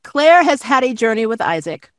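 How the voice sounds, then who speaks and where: A woman's voice: on the high side, very animated, normal speed, dry recording. Enthusiastic young woman, dry close sound. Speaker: host, fan interviewer. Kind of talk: casual enthusiastic fan chat. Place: indoor room, on a video call.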